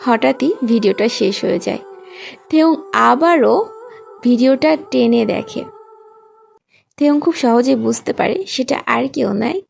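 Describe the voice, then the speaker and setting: A woman's voice: high-pitched, vocal fry, a little mellow. A woman narrating a scripted romantic story as a storyteller, with music behind. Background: background music.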